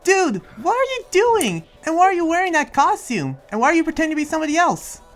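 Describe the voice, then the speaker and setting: An exasperated young demon slayer acted by a man in an improvised game roleplay. His pitch swings widely as he halts, repeats himself and pushes back defensively. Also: very animated, fast talker, a bit bright, soft background music, exaggerated voice. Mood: exasperated, bewildered. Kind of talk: improvised in-character roleplay dialogue.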